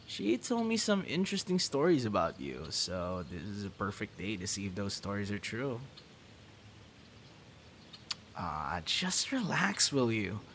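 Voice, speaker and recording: A man's voice: lowish voice, huge pitch swings, normal speed, hardly any pauses, slight background noise, exaggerated voice. A man playing an easygoing, teasing young guy in an ASMR boyfriend roleplay, talking casually and straight to the listener. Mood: reassuring, playful. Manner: casual, teasing, soft chuckles and sighs.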